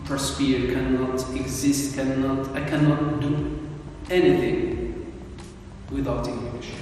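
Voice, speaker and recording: An Algerian man, a native Arabic speaker, speaks slowly and deliberately, pausing and restarting as he answers. He sounds far from the mic in a strongly echoing room with a long reverb tail and a faint hiss behind him. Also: medium pitch, level tone, darkish tone. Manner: slow, measured, with many pauses and restarts. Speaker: English teacher, guest. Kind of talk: interview answers.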